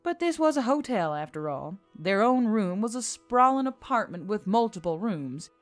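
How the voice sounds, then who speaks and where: A woman's voice: medium pitch, sing-song, moderate pace. Female audiobook narrator for fantasy fiction; mid-range voice, scripted read with light music behind. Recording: music under the voice.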